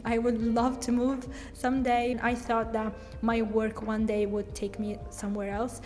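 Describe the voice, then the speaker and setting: A woman's voice: fairly high pitch, a little mellow, long reverb tail. A young woman from Italy with an Italian accent, chatting candidly in a Q&A vlog about her design business, speaking English as a second language. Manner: candid, chatty, with frequent "like" fillers. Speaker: brand designer and content creator. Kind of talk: casual Q&A vlog.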